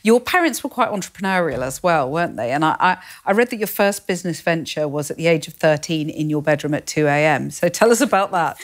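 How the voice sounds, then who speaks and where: A woman's voice: deep voice, expressive, fast talker, few pauses. A woman hosting a radio interview in a polished presenter manner. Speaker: radio host.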